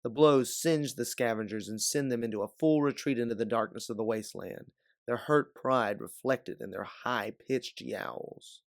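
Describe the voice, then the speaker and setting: A man reading scripted second-person story narration as an audiobook narrator, in a dramatic, deliberate way. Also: medium pitch, no echo, studio quality.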